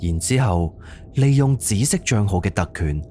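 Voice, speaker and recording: Low-pitched Cantonese storyteller man, lively intonation. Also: normal speed, a little hiss or hum. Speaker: audio drama narrator. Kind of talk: dramatic storytelling narration of a horror mystery.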